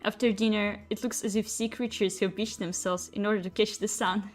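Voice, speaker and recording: A woman's voice: medium pitch, steady tone, a little fast, natural voice. A woman voicing a courteous, gossipy cat-girl bathhouse attendant in a fantasy audiobook, with formal phrasing. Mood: friendly, teasing. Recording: music behind the voice.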